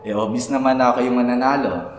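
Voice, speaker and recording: A man voicing a calm, confident young law graduate who answers his rival's boasting. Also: fast, close to an everyday voice. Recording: echoey hall with faint rumble.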